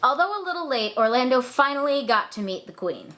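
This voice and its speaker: Higher-pitched woman, sardonic narrator. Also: big pitch swings, fairly quick, fairly bright tone. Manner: irreverent, sardonic. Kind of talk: comic scripted narration of a puppet show.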